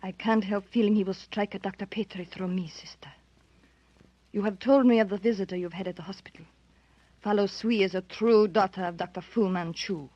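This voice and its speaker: A husky-voiced, refined wife in an old radio thriller played by an actress, anxious and dramatically earnest. The sound is dry and muffled, like a dull vintage broadcast. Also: female voice, medium pitch, steady tone, a little fast, dark tone, no room sound, close to an everyday voice. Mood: hushed dread. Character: anxious, refined married woman fearing a criminal mastermind. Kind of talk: 1930s radio drama acting.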